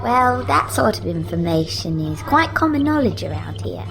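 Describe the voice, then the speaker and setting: A woman's voice: lowish voice, big pitch swings, normal speed, vocal fry, fairly bright tone, faint music behind, heavily acted, poor audio. A woman voicing a knowing, eerie little girl with a posh upper-class English accent, prim and teasing.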